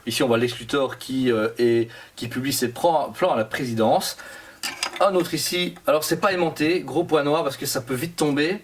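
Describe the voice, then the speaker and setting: A man with a Belgian French accent, co-hosting a casual review of a collectible statue, talking in quick, rambling runs full of fillers and restarts. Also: on the high side, slight background noise.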